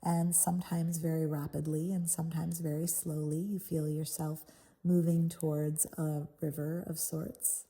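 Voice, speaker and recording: Very mellow, dark-voiced woman guiding meditation. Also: low voice, level tone, slightly rough. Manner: soothing, unhurried phrasing with pauses between short phrases. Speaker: guided meditation host. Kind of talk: guided meditation, soft guided visualization.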